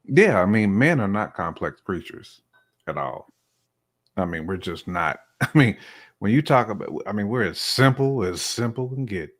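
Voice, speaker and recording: Low male voice, casual podcast co-host, colloquial, repeats points for emphasis. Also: expressive, normal speed. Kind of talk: casual relationship podcast conversation.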